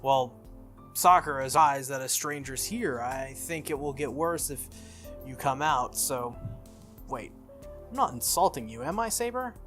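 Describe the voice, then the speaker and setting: An earnest teenage boy from a visual novel, read out by a game streamer. He is easily flustered and hesitant, in a mid-pitched voice with music underneath. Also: lively intonation, unhurried, fairly bright tone. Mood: earnest, uneasy.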